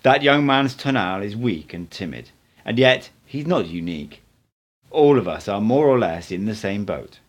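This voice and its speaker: A man acts out a male teacher in an audiobook story, with a fairly low voice. He instructs and corrects with firm, declarative certainty, stressing his points. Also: lively intonation, normal speed. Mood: instructive, certain.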